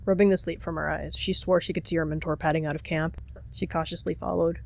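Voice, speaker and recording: Mid-pitched dramatic story narrator. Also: little pitch movement, fast talker, gravelly. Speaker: roleplayer narrating a character.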